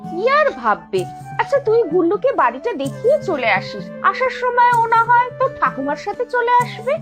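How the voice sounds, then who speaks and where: A practical cartoon mother, played by a woman, coaxing in homely fashion. Her voice is extremely high and lilting, over clear children's music. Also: sing-song, fairly quick.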